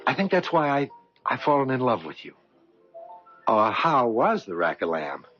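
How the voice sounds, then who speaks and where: An actor playing a smooth-talking, ambitious salesman, breezy and persuasive, in the style of 1970s radio drama. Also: mid-range voice, very animated, normal speed, a bit bright, soft background music. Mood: playful. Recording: dull 1970s radio recording.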